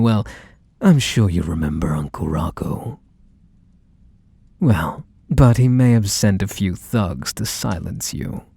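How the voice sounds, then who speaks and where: Low, husky, unhurried mafia boss for ASMR roleplay, male actor: manipulative, obsessive sweetheart talk with quiet menace, close and dry. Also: no room sound, full character voice. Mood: softly threatening. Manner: coaxing, drawn-out endearments, softly menacing. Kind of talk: ASMR roleplay, intimate monologue to the listener.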